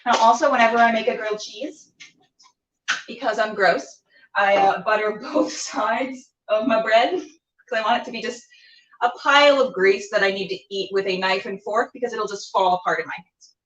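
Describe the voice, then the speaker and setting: A woman's voice: medium pitch, fairly even intonation, normal speed, fairly bright tone. A woman chatting casually and playfully to camera as a cooking show host, rambling and talking to herself as she goes. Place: indoors while cooking, live stream. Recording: roomy, distant, dull camera sound.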